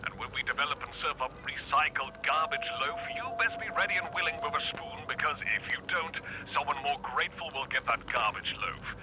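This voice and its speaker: An actor playing a smug, callous, ultra-rich tycoon who speaks in a pompous, condescending, theatrical way, as if interviewed on satirical radio. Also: male voice, mid-range voice, lively intonation, brisk, few pauses, very noisy, close to an everyday voice. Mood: haughty, boastful. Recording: music behind the voice.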